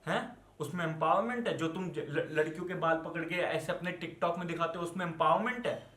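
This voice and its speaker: A man's voice: fairly high pitch, brisk, a bit bright. A young man from India with an Indian accent delivers an angry, unscripted rant as an online commentator, scolding and swearing with rhetorical questions and switching into English. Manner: switches between Hindi and English. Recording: echoey, reverberant room.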